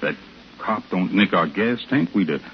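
A man's voice: deep voice, animated, moderate pace. An actor playing a cold male bank robber on the run in a 1950s radio drama, with folksy, ungrammatical speech and a matter-of-fact manner. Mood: callous. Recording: muffled, faintly noisy 1950s radio recording.

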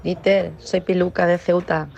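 A woman's voice: fairly low pitch, level tone, measured pace, vocal fry, darkish tone, dull audio. A woman from leaving a casual voice message as a radio listener.

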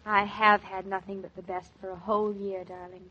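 An actress playing an affectionate, excitable young newlywed wife in a 1940s radio drama, girlish, earnest and a little bossy. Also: mid-range voice, fairly quick. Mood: fond, contented. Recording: muffled 1940s radio recording.

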